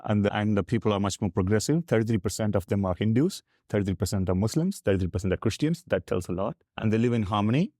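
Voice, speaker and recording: Low-pitched South Indian man, dry close sound. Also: quick pace, few pauses, mellow, dry recording. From Tamil Nadu, South India. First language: Tamil. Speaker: podcast guest. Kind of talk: candid explanatory conversation.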